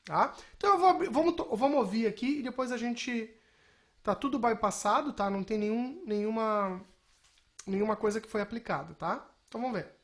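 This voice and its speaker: A woman giving an informal software lesson as a music technology teacher, explaining and often checking in with short tag questions. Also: a little high, brisk.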